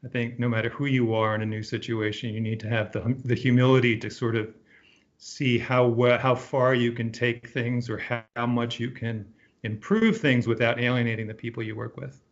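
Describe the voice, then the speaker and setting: A man's voice: medium pitch, flat delivery, normal speed. Earnest, reflective man, slightly muffled. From Puerto Rico. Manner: earnest, thoughtful, many ums and restarts. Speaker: guest, emergency physician. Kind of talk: reflective interview conversation.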